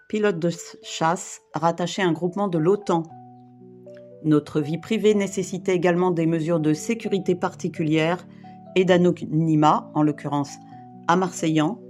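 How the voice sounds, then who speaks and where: A woman in her fifties from the Paris region, reading a personal testimony in a measured, formal way. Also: on the low side, a little mellow. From France, Île-de-France. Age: 50s. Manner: measured, formal, list-like delivery. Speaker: brief therapist and volunteer rescuer. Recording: guitar music behind.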